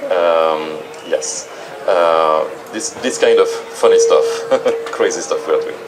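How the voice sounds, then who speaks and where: A man's voice: low-pitched, slow, crowd noise, rough recording. A man from France, a film producer, speaking hesitantly on a festival panel with many fillers. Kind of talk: panel talk.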